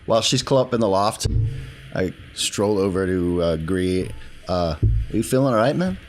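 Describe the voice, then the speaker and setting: A man's voice: low-pitched, expressive, moderate pace, faint music behind. A man talking casually as a player in a roleplaying game, reasoning through plans.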